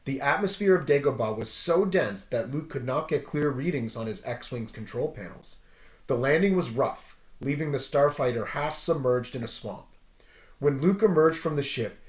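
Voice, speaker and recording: A man reading a story aloud as narrator, in an animated storytelling style. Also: mid-range voice, reverberant.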